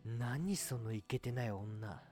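A man's voice: mid-range voice, slow, warm tone, dry recording, soft background music. A man playing a smug, girl-crazy young braggart in an amateur comic radio drama. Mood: indignant. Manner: pompous, theatrical boasting.

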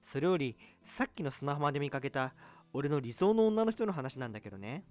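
A man puts on a pompous, girl-crazy young guy in a comic radio drama. He boasts theatrically, with big pitch swings. It is a dry recording with light music underneath. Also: lively intonation, fairly quick, dry recording, lightly acted. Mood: indignant. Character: smug, girl-crazy young man lording over indebted friends.

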